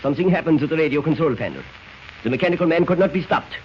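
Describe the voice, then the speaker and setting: A man's voice: on the high side, animated, racing, lightly acted. Cold spymaster played by a man for vintage radio drama; formal, imperious, muffled hissy sound. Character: cold menacing male German spy mastermind. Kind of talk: 1940s radio acting.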